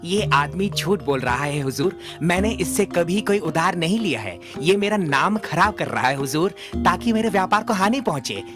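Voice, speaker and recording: A man's voice: high voice, lively intonation, moderate pace, few pauses, music in the background. A man playing a deferential, ingratiating trader in a Hindi cartoon. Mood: indignant, pleading. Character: smooth-talking, deferential male trader protesting his innocence.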